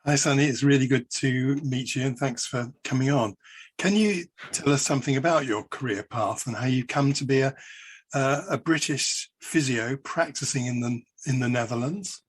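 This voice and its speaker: A man co-hosting a podcast, asking friendly, halting questions with fillers. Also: mid-range voice, breathy edge. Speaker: podcast co-host. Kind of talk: casual interview questions.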